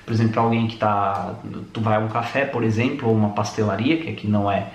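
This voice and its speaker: A man's voice: fairly low pitch, fairly even intonation, fast. A young Brazilian man with a Brazilian Portuguese accent, co-hosting a casual vlog and explaining things with many restarts and fillers. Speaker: vlogger co-host. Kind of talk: casual chat. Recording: echoey room with faint noise.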